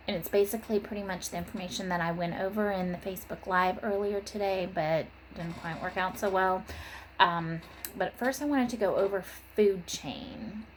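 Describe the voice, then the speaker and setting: A woman's voice: mid-range voice, a little hiss or hum, low-quality recording. A woman teacher giving an informal lesson and story for young children, stressing key terms in an instructional way. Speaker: third grade teacher.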